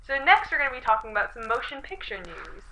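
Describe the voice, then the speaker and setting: Eager 1920s radio host acted by a woman, lively swooping delivery, high voice, slightly noisy recording. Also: fast, faint music behind, full character voice. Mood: playful. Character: enthusiastic 1920s radio host named Joe. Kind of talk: scripted 1920s radio show acting. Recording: faint noise and clicks.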